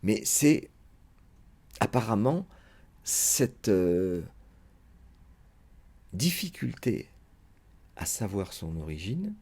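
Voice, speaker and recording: Low, dark, husky-voiced man lecturing. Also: sing-song, very slow, pauses often. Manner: hesitant, thinking aloud with many fillers. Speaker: psychoanalyst lecturing. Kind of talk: unscripted spoken lecture.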